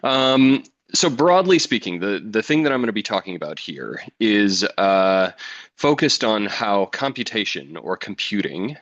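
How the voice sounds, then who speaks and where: Low-voiced American man giving a webinar. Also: unhurried, dry recording, highs cut off. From Wisconsin, United States. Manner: relaxed, chatty, many ums and uhs. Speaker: physics education researcher presenting a webinar. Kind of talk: webinar presentation. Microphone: computer mic on a video call.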